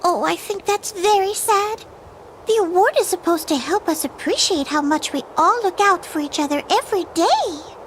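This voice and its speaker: A high, prim, polite cartoon lady. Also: lively intonation, normal speed, a little hiss or hum, rough recording. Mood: gently sad. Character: polite, kindly, proper female cartoon character. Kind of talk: animated video game voice acting.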